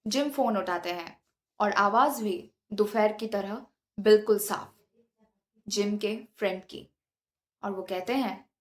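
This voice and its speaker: A woman's voice: medium pitch, slow pace, pauses often. A woman hosting and narrating scripted paranormal stories in a dramatic, suspenseful way, mixing in English words. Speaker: video host and narrator.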